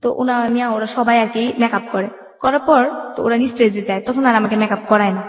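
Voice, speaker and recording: Fast-talking Bangladeshi woman, echoey recording. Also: on the high side, fairly even intonation. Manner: colloquial, acts out remembered dialogue. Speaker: guest telling their life story. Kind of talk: personal storytelling in a radio interview. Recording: echoey room with long reverb.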